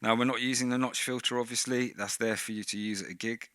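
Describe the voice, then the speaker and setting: A man's voice: medium pitch, fairly even intonation, fast talker, bright, forceful tone, dry recording. A man hosting a casual tutorial on vocal effects settings, talking close into a vocal microphone with a pop screen, sometimes right against it. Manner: close-miked, talks right on the mic at times. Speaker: host. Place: indoor room, dim light. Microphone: vocal mic with pop screen through mixer.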